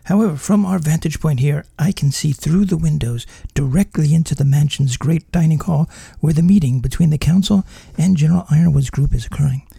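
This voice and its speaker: A man voicing a serious male field reporter who describes a tense meeting in a hushed, careful way. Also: on the high side, brisk, creaky, few pauses, slightly rough, no room sound, full character voice. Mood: grave. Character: measured, serious male reporter outside a mansion.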